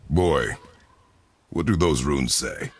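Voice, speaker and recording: An actor playing a stern warrior father in a video game, speaking in terse, commanding sentences with a gravelly voice. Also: extremely low pitch, slow, exaggerated voice. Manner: terse, commanding, few words.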